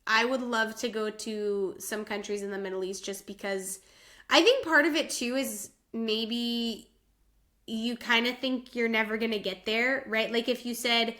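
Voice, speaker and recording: A woman's voice: a little high, measured pace, a bit bright. A woman from the United States co-hosting a podcast, chatting casually and often saying "like". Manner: chatty, peppered with "like". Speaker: podcast co-host. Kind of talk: casual chat.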